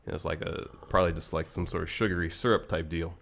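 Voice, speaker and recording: Congested low-voiced man chatting on stream. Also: fairly even intonation, quick pace, vocal fry, a bit bright. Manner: easygoing, reads chat aloud. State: congested, slight cough. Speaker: podcast co-host. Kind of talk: casual livestream chat.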